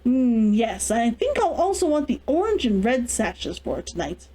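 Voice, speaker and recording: A woman's voice: a little high, sing-song, a little slow, mellow. A woman voicing a composed, imperious young noblewoman in a storybook reading. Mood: composed, commanding. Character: composed, demanding young noblewoman on eve of adulthood.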